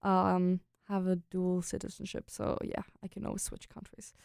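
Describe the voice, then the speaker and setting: A woman's voice: lowish voice, completely flat delivery, normal speed, vocal fry, darkish tone, clean tone, dry recording, studio quality. A young woman chatting casually as an interview guest, telling personal stories and sprinkling in "like" and "you know". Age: young adult. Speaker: guest, international exchange student.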